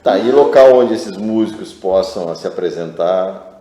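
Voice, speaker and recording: A man, hosting a TV interview and asking measured questions. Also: low voice, mellow. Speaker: TV show host. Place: TV studio. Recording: roomy studio echo.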